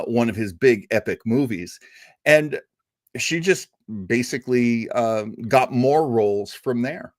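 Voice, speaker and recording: A man's voice: medium pitch, no echo, studio quality. A man hosting a show who chats casually and with enthusiasm as he introduces a radio drama, with many fillers and asides.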